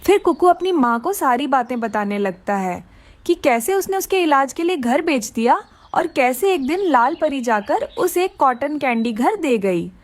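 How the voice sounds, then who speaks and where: A woman's voice: sits high, expressive, a little slow. A woman narrating a scripted children's story.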